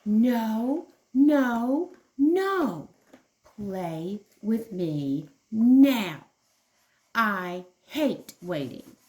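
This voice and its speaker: A woman acts out a little storybook kangaroo girl with a temper. She is whiny and stubborn, insisting on her way with emphatic, drawn-out demands. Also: on the high side, very animated, darkish tone, voice pushed up, heavily acted. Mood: tantrum, defiant. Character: young girl kangaroo, demanding, prone to tantrums.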